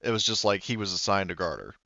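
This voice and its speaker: A man running a tabletop game, explaining rules in a relaxed, easygoing way. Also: sits low, fast, gravelly, no echo. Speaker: game master. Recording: dull lo-fi mic.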